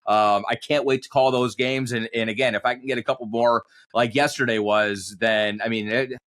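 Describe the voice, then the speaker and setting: A man's voice: mid-range voice, fairly even intonation, moderate pace, fairly bright tone. A man who works as a sports radio announcer, talking reflectively in a conversational interview and often circling back over his phrases.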